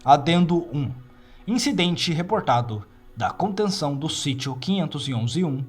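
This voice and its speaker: A man with a Brazilian accent narrating a scripted fictional report in a measured, formal, clinical manner. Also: a little high, expressive, music in the background. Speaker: narrator of horror fiction files.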